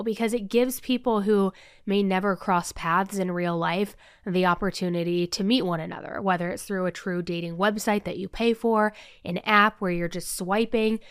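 A woman hosting a true crime podcast in a casual, animated way, a little nasal from being under the weather. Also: medium pitch, smooth, no room sound, clean studio sound. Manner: animated, talks with hands, lots of "like". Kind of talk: casual chatty podcast storytelling. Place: new office studio set. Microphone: podcast microphone.

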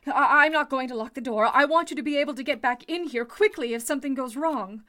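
A woman's voice: high voice, quick pace, bright, forceful tone, slight background noise. An actress playing an anxious young woman in the style of an old-time radio thriller. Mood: fearful.

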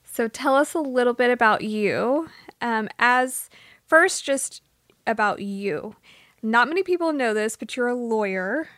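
A woman with a fairly high voice hosts a podcast interview, talking warmly and with emotion close to the surface. The sound is dry and close, with no room echo. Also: animated, a little slow. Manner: heartfelt, emotional, a little teary.

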